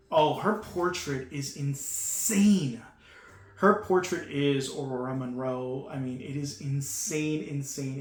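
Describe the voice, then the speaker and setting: Guy gushing over a toy unboxing, kinda high voice, lots of um and uh, roomy echo. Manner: enthusiastic, gushing, with frequent fillers. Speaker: toy reviewer. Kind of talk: casual unboxing commentary. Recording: echoey room with faint hum.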